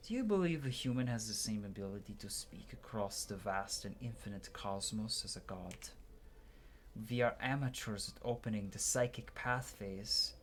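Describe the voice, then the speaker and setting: A man's voice: lowish voice, expressive, moderate pace. Man reading game dialogue aloud, noisy recording. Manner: stumbles and restarts while reading aloud. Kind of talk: gameplay commentary with dramatic read-aloud dialogue.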